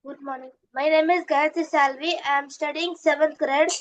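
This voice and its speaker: A female student with a high voice asks a polite, prepared question. Her voice sounds muffled and lo-fi. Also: fairly even intonation, normal speed.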